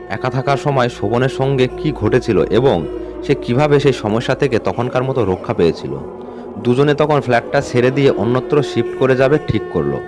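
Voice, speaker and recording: A man narrates a scripted ghost story. His voice sits at an average pitch, and he tells it in a measured, suspenseful manner. Music plays underneath the narration. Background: background music.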